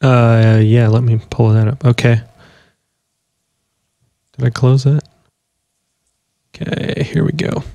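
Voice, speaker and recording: A man co-hosting a podcast, explaining things in a relaxed, casual way. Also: mid-range voice, takes it slowly, gravelly, long pauses, no room sound, lo-fi sound. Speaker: podcast co-host.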